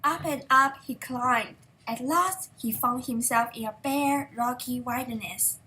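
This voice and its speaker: A woman's voice: a little high, unhurried, sharp tone, a little hiss or hum, poor audio. A young female reader narrating a fairy tale aloud from a book. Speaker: storybook reader.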